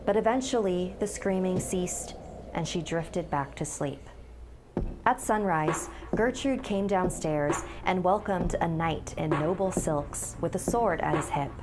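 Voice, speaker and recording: A woman's voice: fairly low pitch, unhurried, background noise. A woman in her thirties giving a scripted, dramatic narration as a scary-story teller. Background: background music.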